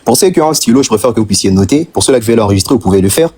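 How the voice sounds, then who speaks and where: A man from eastern Congo with a Congolese French accent, speaking at a middling pitch with a husky, slightly breathy voice. He argues his case on a live stream, firing off rhetorical questions and hammering his points home by repeating them. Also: expressive, rapid-fire, mellow. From Democratic Republic of the Congo. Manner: rhetorical, insistent, repeats phrases for emphasis. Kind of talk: impassioned argument on a live stream.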